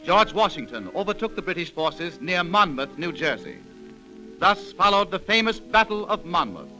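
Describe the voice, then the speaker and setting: A man's voice: high-pitched, sing-song, moderate pace, pushed and bright, faint music behind, low-quality recording. A man narrating a scripted program in a dramatic, emphatic 1930s radio style. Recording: vintage 1930s radio recording.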